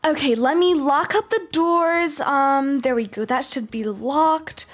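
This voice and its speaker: A woman's voice: high voice, measured pace. A woman playing a spoiled rich schoolgirl and young mother in a game roleplay, sighing and complaining to herself. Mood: exasperated.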